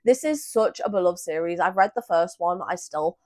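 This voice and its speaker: A woman with a slightly hoarse, froggy voice, chatting casually and warmly about upcoming books as a vlogger. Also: on the low side, lively intonation, normal speed. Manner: friendly, chatty, self-deprecating. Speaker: book vlogger. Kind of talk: casual booktube chat.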